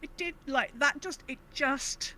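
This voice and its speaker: A woman with a fairly high voice co-hosts a casual gaming podcast. She talks in an emphatic, exasperated way, throwing out rhetorical questions. There is some noise behind her. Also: a little slow, bright, forceful tone. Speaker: podcast co-host. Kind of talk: casual chat about gaming.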